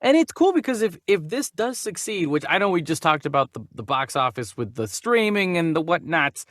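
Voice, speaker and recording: Animated higher-voiced man co-hosting a podcast. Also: moderate pace, no room sound, studio quality. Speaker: podcast co-host and voice actor. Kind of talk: casual movie news discussion, reading news aloud.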